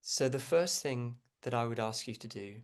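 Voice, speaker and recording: A man leading a guided meditation as a teacher, speaking gently and without hurry, with frequent pauses. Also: mid-range voice, animated.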